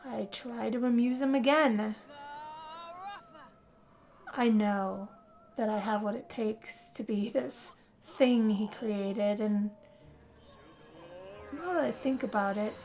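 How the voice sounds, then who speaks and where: A woman voicing a proud, bitter young female vampire, narrating her own story in a dramatic, deliberate way. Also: a little high, a little mellow. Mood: defiant, scheming. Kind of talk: scripted first-person story narration.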